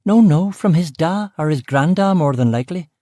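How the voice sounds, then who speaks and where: A woman's voice: on the low side, expressive, moderate pace, mellow, dry recording, clean studio sound, pitched above the performer's own voice, lightly acted. A man voicing a blunt middle-aged woman cook with a West Belfast accent, in clipped local speech, with his voice raised well above his own. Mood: wary.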